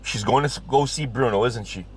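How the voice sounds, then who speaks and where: A man's voice: medium pitch, brisk, a little hiss or hum, lightly acted. A man playing an earnest, put-upon pizzeria worker in a comedy audio drama, skeptical and fretful. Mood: resigned.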